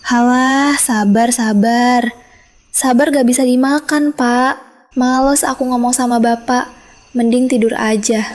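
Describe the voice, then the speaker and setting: A woman's voice: on the high side, fairly even intonation, a little slow, a little mellow, lightly acted. A woman voicing a greedy, nagging middle-aged village wife who talks in a whiny, scornful way.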